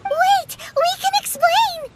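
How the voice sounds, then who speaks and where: An actress voicing a tiny, cheerful, childlike fairy companion in a game, with a squeaky, bubbly, naive delivery. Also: female voice, slow pace, very noisy. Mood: pleading. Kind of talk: cartoonish game voice acting. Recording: loud game music behind.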